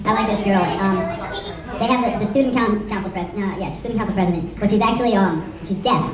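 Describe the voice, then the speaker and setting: Young woman on a convention panel, rattles along really fast, enthusiastic, echoey room. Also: medium pitch, crowd noise. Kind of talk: informal fan panel talk. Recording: echoey room, distant mic.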